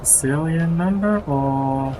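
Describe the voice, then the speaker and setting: A man streaming casual, slangy live reactions to a game, haltingly repeating himself. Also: fairly high pitch, heavy background noise. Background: game music and sound.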